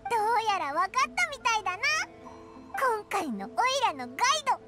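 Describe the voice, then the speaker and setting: A tiny floating fairy from a game, squeaky and very high. The voice is childlike and lively, with blunt, boyish chatter over prominent music. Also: female voice, a little fast. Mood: chirpy. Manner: blunt, boyish casual speech. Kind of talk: Japanese game dub acting.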